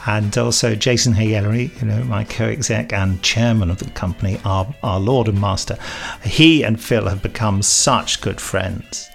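A man's voice: lowish voice, steady tone, moderate pace, vocal fry, few pauses, faint music behind. A middle-aged English man hosting a podcast in casual, jokey chat, with a playful, mock-dramatic manner. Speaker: podcast host.

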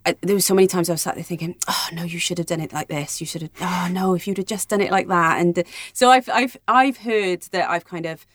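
A woman's voice: fairly low pitch, fast, hardly any pauses, no room sound. A woman chatting casually in an interview about her own creative work.

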